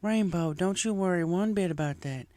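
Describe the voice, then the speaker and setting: Man voicing a raised-pitch, earnest farm mare. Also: moderate pace, a little mellow, dry recording, natural voice. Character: plain-spoken, earnest young female farm pony.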